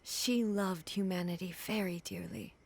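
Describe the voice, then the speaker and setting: An actress playing a resolute ruling goddess in a video game, speaking in a measured, formal and stately manner. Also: female voice, mid-range voice, slight background noise, close to an everyday voice. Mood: solemn. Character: solemn ruling goddess, resolute and regal, adult woman. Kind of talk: scripted video game dialogue. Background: music.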